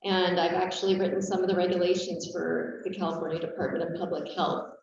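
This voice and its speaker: A woman in her forties giving a brisk, self-assured board candidacy statement over a video call, with frequent filler words. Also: on the low side, flat delivery, darkish tone. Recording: muffled, roomy, distant call audio.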